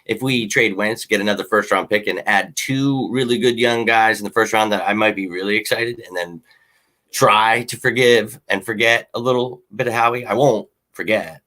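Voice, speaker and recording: A man hosting a casual sports fan show, rambling and opinionated with lots of ums, his voice slightly hoarse. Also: fairly low pitch, steady tone, moderate pace, pushed and bright, low-quality recording. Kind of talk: casual sports talk with viewer comments.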